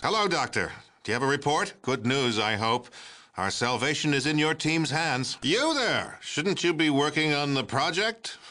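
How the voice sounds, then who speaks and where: A smug male politician for a video game. His voice is bright and forceful, swinging widely in pitch. He talks like a folksy, pompous president, patronizing everyone he addresses. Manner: glad-handing, pompous, patronizing. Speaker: president character. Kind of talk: theatrical video game character acting.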